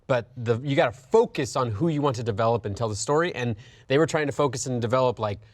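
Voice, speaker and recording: A man's voice: medium pitch, fast, no room sound. A man talking as a panelist in an opinionated casual discussion, making his points emphatically in repeated lists. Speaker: talk show panelist.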